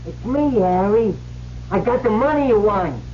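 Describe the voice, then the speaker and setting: A man's voice: sits high, lively intonation, normal speed, a little mellow. An actor playing a cynical, greedy small-time crook with slangy, stammering tough-guy talk in a radio drama. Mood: cold, smug. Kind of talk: 1970s radio drama acting. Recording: dull, hissy old radio recording.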